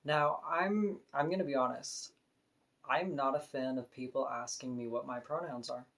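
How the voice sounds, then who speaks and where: A woman with a deep voice, talking frankly and casually to camera in an opinion vlog, now and then laughing softly. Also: moderate pace. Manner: frank, conversational, with soft laughs. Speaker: vlogger.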